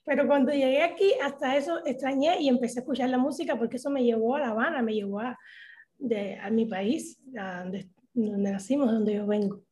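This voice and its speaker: Cuban woman, 30s, high-pitched, chatty and halting, interview guest on a video call. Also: mellow. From Cuba. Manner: chatty, halting with many fillers and restarts. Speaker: interview guest, social media comedian. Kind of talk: casual interview talk.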